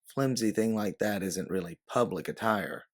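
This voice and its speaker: A man's voice: on the low side, normal speed. Man voicing exasperated commanding woman time traveller. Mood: urgent.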